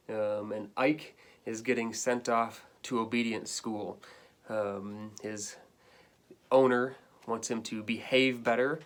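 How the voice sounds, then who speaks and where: A man speaking as an elementary school teacher, giving pupils an informal, conversational update with some hesitation. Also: fairly low pitch. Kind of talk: informal remote-learning announcement to pupils.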